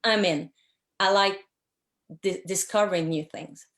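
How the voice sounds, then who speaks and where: A middle-aged woman from Quebec City with a Québécois French accent, chatting as an interview guest with many pauses and restarts. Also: mid-range voice, fairly even intonation, fairly bright tone. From Quebec City, Canada. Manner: hesitant, many ums and restarts. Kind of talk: casual interview chat.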